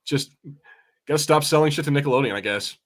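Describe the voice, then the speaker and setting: Slangy, sweary man chatting casually. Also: fairly high pitch. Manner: informal, slangy, sweary, halting. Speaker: podcast co-host. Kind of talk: casual film chat on a watch party.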